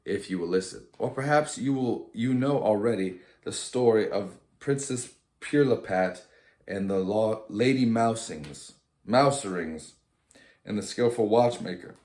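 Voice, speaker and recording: Man playing an eccentric old godfather, low, echoey. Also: a little slow, a little mellow, natural voice. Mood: teasing, courteous. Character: eccentric, teasing old godfather, a watchmaker counselor.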